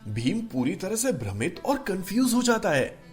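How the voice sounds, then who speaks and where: A man narrating a scripted children's story in an expressive storytelling manner. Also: high-pitched, normal speed, soft background music.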